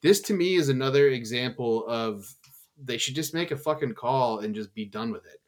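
A man's voice: medium pitch, normal speed. Blunt man venting in casual chat. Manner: blunt, swears, joking. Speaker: podcast co-host.

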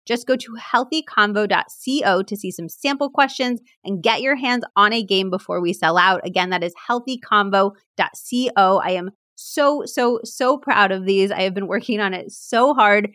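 A woman's voice: medium pitch, expressive, normal speed, hardly any pauses, a bit bright, no echo, clean studio sound. A woman hosting a podcast, bubbly and effusive as she asks questions and reads an ad. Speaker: podcast host. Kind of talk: enthusiastic interviewing and ad read.

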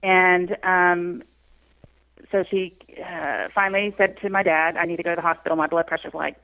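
A woman's voice: on the low side, fairly even intonation, normal speed, fairly bright tone, muffled recording. A woman from Texas speaking as a podcast guest, earnest and conversational. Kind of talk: interview conversation.

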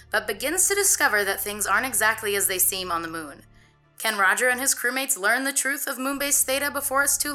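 A woman's voice: mid-range voice, bright, forceful tone. A woman podcast host reading scripted promotional narration. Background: music.

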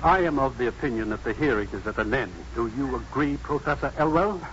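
An actor playing an authoritative, impatient academic at a hearing in 1950s radio drama, formal and decisive. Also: male voice, mid-range voice, lively intonation, brisk, a bit bright, lightly acted. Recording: dull, noisy 1950s radio recording.

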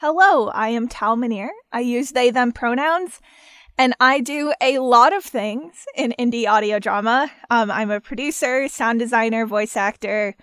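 A woman's voice: fairly high pitch, unhurried, a bit bright, smooth, no room sound, studio quality. A woman speaking casually as a podcast guest who produces and acts in audio drama. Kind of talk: casual self-introduction.

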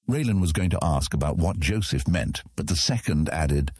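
Deep, mellow, husky male narrator. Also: animated, moderate pace, no room sound, studio quality. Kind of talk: scripted audiobook narration of fantasy.

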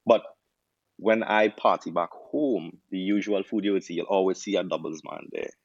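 Deep-voiced Trinidadian man, dry sound. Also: normal speed, no echo, lo-fi sound. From Trinidad and Tobago. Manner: relaxed, storytelling, frequent restarts. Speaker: doctor turned chef, guest. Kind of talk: casual interview answers.

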